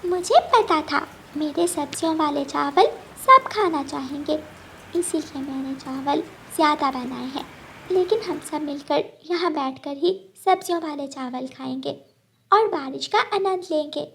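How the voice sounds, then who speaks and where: Casting: woman as big-sister girl bird, very high, sweet, sensible, cartoon with noisy backdrop. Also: a little slow, gravelly, fairly bright tone, heavily acted. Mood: cheerful, generous.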